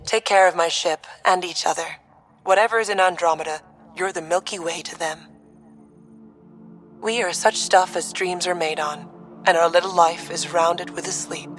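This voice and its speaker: A woman's voice: lowish voice, fairly even intonation, normal speed, fairly bright tone, soft background music, close to an everyday voice. An actress playing a proud, tender woman ship designer in a video game, delivering a solemn, heartfelt recorded message. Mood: solemn, fond.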